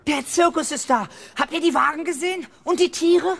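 An actor playing an eager, cocky village boy in a children's radio play, earnest and excitable. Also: very high voice, moderate pace, gravelly, exaggerated voice. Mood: excited, cocky. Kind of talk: 1970s German children's radio play acting.

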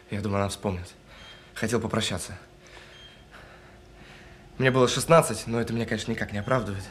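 An actor in an audio drama playing a guilt-ridden bomb technician on the phone, speaking haltingly and earnestly. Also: male voice, on the low side, a little hiss or hum, close to an everyday voice. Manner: halting, earnest, apologetic, with stammered restarts. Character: guilt-ridden male bomb defuser saying goodbye by phone.

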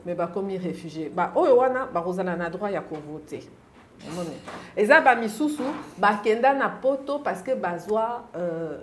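Animated middle-aged woman, studio guest. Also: mid-range voice, slight background noise. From Democratic Republic of the Congo. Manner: animated, gesturing with her hands, insistent. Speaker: interview guest. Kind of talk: studio interview, mixing French and Lingala. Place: TV studio, indoors.

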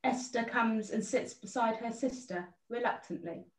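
Higher-pitched woman narrator, echoey and distant. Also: moderate pace. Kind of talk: reading stage directions, scripted narration.

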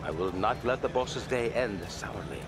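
A stern older consigliere in a gangster game. The voice is low, measured and authoritative, with formal, deliberate phrasing. Music sounds clearly underneath. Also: male voice, lively intonation. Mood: stern, controlled. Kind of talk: cinematic crime game acting. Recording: music behind the voice.

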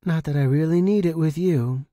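A man's voice: fairly high pitch, moderate pace, dark tone, no room sound, studio quality, voice pushed up, natural voice. A man voicing a coolly menacing young woman smuggler in an audio story, with casual, matter-of-fact delivery. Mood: cool menace. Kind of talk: audio story character reading.

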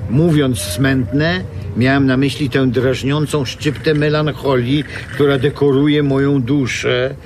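A man's voice: medium pitch, slight background noise, lightly acted. An actor playing a pompous, melancholy old-fashioned craftsman in a radio drama, speaking in florid, theatrical phrases. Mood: florid, wistful. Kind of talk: Polish radio drama acting.

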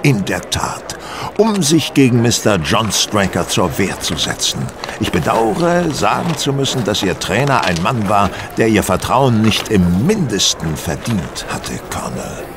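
An actor playing a self-assured, coolly superior detective in a German radio play, explaining with courteous precision and theatrical flair. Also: male voice, lowish voice, sing-song, unhurried, noisy background. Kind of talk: German audio drama acting.

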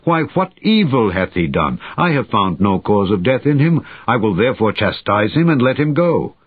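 A stately Roman governor, played by a male narrator reasons firmly and emphatically in a scripture reading. The voice is husky and declamatory. The recording is dry, dull and narrow. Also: fairly low pitch, big pitch swings, moderate pace, no room sound. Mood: protesting. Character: Roman governor, firm, reasoning, reluctant judge. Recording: muffled, band-limited old audiobook recording.

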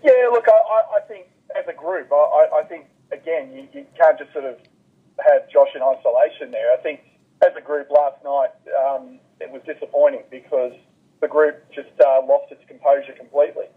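A man giving measured basketball analysis as an interview guest, carefully qualifying his points over the phone. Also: a little high, husky. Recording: muffled telephone line.

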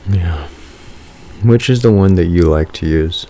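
A man's voice: low-pitched, a little slow, dark tone. A man co-hosting a podcast in casual, flirty ASMR chat, teasing and joking, close to the microphone. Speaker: podcast co-host.

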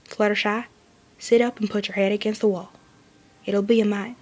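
A woman's voice: mid-range voice, flat delivery, normal speed, darkish tone, close to an everyday voice. A woman voicing a stoic, grim, folksy female farm pony in a plain-spoken way for a story reading. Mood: resigned.

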